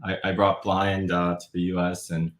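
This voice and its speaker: A man's voice: sits low, completely flat delivery, moderate pace, reverberant. A man with a Korean accent, a guest in a casual webinar chat, speaking hesitantly with many fillers. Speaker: startup advisor and guest.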